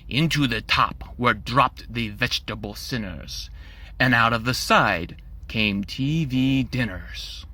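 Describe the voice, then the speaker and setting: A man's voice: medium pitch, pushed and bright. A man narrating a rhyming children's storybook in a theatrical, playful way.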